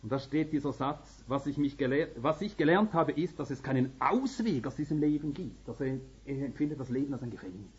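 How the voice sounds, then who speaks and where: A man's voice: mid-range voice, lively intonation, brisk, hardly any pauses, a little mellow, breathy edge. A middle-aged or older man from Finland, a native Swedish speaker with a Swedish-speaking Finnish accent, preaching a sermon in an earnest, emphatic manner that climbs to urgent peaks. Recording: slightly dull lo-fi recording.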